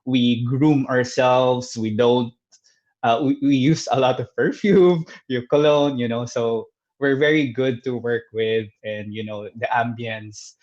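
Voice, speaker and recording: A man's voice: mid-range voice, steady tone, unhurried, a little mellow, lo-fi sound. A man working as a videographer, chatting casually on a panel, hesitant with many fillers and restarts. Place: Dubai.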